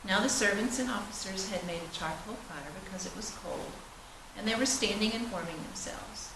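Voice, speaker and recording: A woman's voice: medium pitch, moderate pace. A woman reading scripture aloud in a solemn style at a church service. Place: large indoor church space. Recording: roomy, noisy, distant church recording.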